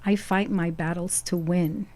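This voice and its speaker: A middle-aged woman from Ecuador with a Brooklyn accent speaks in a lowish, mellow voice. She talks reflectively and repeats her phrases for emphasis, and the studio sound is dry and close. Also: steady tone, unhurried, no room sound. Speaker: guest. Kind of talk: casual chat. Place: radio studio.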